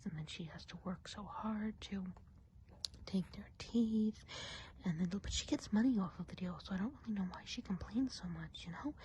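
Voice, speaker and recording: A woman voicing a chatty woodland witch in an ASMR roleplay, whispering softly and breathily in a gossipy, confiding way. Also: medium pitch, a little hiss or hum, lightly acted, poor audio. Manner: gossipy, confiding, hesitant casual phrasing. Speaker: ASMR performer.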